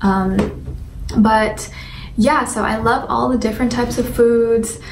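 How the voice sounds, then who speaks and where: A woman's voice: on the high side, steady tone, slow pace. A young adult woman chats casually to viewers as a vlogger, in an informal, rambling way full of fillers. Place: indoor room at home with a sunlit window. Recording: roomy echo, distant mic, faint noise.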